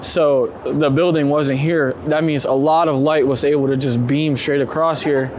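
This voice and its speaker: A man chatting casually and excitably in slangy speech while out walking as a street photographer. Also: fairly high pitch. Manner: excitable, slangy, quick to gush. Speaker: street photographer being profiled. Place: city street, outdoors. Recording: outdoor street noise, passing traffic. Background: urban ambience and vehicles.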